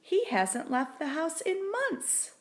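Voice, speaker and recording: Woman playing a caring, animated older wife. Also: extremely high pitch, slow, pitched above the performer's own voice, full character voice. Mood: puzzled.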